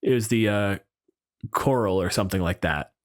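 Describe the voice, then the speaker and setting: A man's voice: fairly low pitch, normal speed. A man co-hosting a podcast, chatting casually and unscripted about tabletop games, with wry asides and loose swearing. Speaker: podcast co-host.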